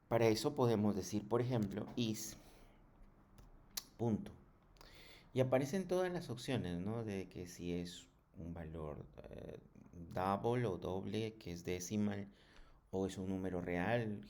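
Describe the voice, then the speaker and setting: Slow, mellow man teaching programming. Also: lowish voice. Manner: halting, didactic, with frequent check-in questions. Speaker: programming instructor. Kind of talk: screen tutorial lesson.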